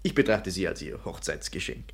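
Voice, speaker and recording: An actor in a 1950s German radio play playing a vain, scheming young painter with grandiose, flowery speech. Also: male voice, deep voice, big pitch swings, brisk, exaggerated voice. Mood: smug, pompous. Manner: grandiose, flowery, self-important. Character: vain, scheming young male French painter, theatrically charming.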